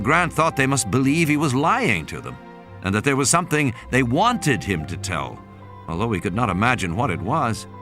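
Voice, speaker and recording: A man's voice: mid-range voice, sharp tone. A man reading a dramatic scripted story as an audiobook narrator, with music and sound effects behind him. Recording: music under the voice.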